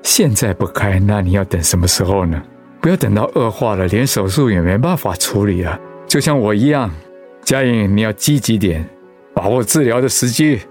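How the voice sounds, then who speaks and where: An actor playing a seriously ill but patient, encouraging husband in a Mandarin radio drama. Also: male voice, lowish voice, animated, normal speed, darkish tone. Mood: earnest, reassuring. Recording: music under the voice.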